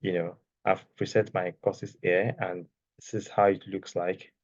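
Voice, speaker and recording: A soft-spoken man teaching a casual online class while sharing his screen, talking loosely and checking in with "okay?". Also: low voice, little pitch movement, a little slow, dry recording, highs cut off. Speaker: course creation instructor. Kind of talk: screen-share tutorial, casual. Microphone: external microphone.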